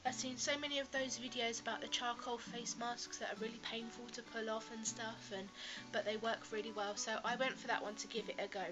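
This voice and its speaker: A woman's voice: on the high side, little pitch movement, fairly quick, dull audio, music in the background. A woman, bunged up with a cold, chatting through a beauty box review to her webcam in her bedroom in a friendly, rambling way. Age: 20s. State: congested from a cold, stuffy nasal voice. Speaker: beauty vlogger. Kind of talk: casual product review to camera. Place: bedroom, indoors. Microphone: laptop webcam at close range.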